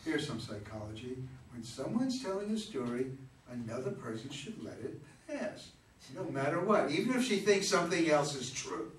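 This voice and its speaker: Heard from a distance in a noisy, echoing room, a man plays an exasperated husband and father in a stage reading. His high-pitched voice swings widely as he stubbornly defends himself. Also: very animated, normal speed. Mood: pleading, sardonic. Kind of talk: staged play reading before an audience.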